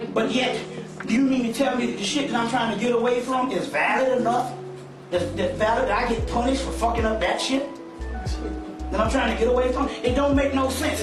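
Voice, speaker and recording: A man giving an impassioned informal lecture, arguing emphatically and repetitively with coarse language. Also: extremely high pitch. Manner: emphatic, argumentative, profane, repetitive. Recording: echoey room, far mic, music behind.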